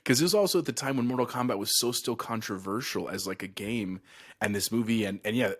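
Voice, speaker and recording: A man's voice: lowish voice, fast talker, fairly bright tone. A middle-aged man co-hosting a casual podcast, talking in a chatty, enthusiastic way. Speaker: podcast co-host.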